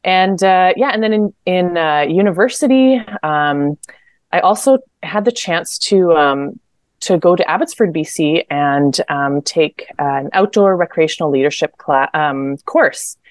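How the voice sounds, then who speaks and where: A young woman from Manitoba, Canada, talking casually as a podcast guest. Her voice sits low and she fills pauses with ums and restarts as she thinks. The sound is close and dry. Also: expressive, no echo. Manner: chatty, many fillers and restarts. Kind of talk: casual interview chat.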